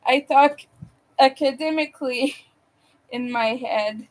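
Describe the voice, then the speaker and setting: Slow, halting high-voiced woman. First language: not English. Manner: hesitant, halting, restarts words. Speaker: crochet vlogger. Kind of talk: casual chat while crocheting.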